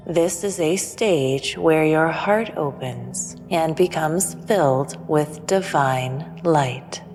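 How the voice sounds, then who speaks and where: An actress playing a serene, preachy female alien spiritual teacher in an audio drama, speaking in a solemn, measured way. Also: low-pitched, a little mellow. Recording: long reverb, music underneath.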